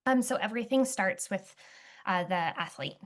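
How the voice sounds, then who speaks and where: A young woman guest in an interview, talking casually with many fillers and restarts, her voice slightly hoarse. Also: medium pitch, moderate pace. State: slightly hoarse, clearing throat. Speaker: footwear testing lead, interview guest.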